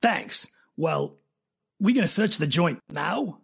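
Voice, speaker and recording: Male actor as blustering tough bodyguard, high hoarse rasp, slangy, indignant, radio drama. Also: big pitch swings, slow pace, a bit bright, full character voice. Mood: grudging. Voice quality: raspy, gravelly. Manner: slangy, blustering wise guy. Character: indignant tough-talking hired bodyguard, a man.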